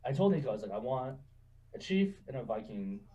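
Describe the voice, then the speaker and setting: A man in his twenties telling stories in a relaxed, chatty way, laughing often and saying "you know" a lot. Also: medium pitch, brisk, distant mic, muffled recording. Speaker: guest. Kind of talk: casual interview storytelling.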